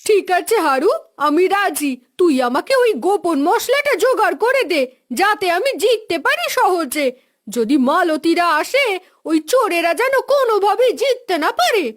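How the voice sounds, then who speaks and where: Woman acting as cartoon village housewife, scheming, competitive, extremely high voice, very animated intonation. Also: normal speed. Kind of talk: cartoon fairy-tale voice acting.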